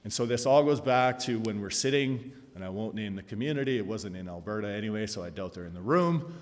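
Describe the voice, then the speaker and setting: A man in his forties giving a conference panel talk, telling a self-deprecating story with an animated delivery. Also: lowish voice, fairly quick. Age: 40s. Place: conference hall. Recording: long hall reverb.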